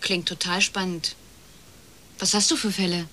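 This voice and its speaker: An actress playing a young, scheming woman who pries and flatters in a casual, teasing way, in a radio crime drama. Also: fairly low pitch, moderate pace. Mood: breezy, curious. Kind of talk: naturalistic German radio crime drama acting.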